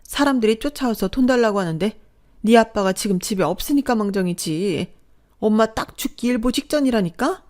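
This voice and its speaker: A woman voicing a desperate, scheming middle-aged mother-in-law who scolds and begs for money in a shrill, pushy voice. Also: lively intonation, brisk, a little mellow, lightly acted. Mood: frantic, nagging.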